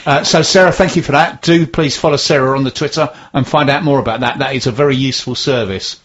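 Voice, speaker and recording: A man's voice: mid-range voice, fast, slightly rough, dull audio. A man hosting a local radio show, reading announcements and tweets in a rambling, good-humoured way. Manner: warm-hearted, rambling, stumbles over words. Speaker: radio host. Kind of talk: casual radio chat with announcements.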